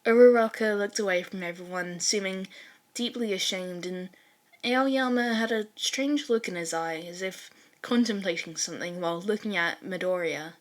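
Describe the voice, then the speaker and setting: A woman's voice: mid-range voice, normal speed. A woman reading fan fiction aloud as a scripted story narration.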